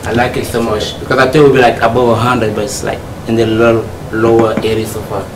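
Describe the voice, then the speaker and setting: A man's voice: medium pitch, level tone, fast talker. A man from Cameroon giving a short, friendly practice speech, chatting with the audience. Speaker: speech trainee. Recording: distant echoey room with noise.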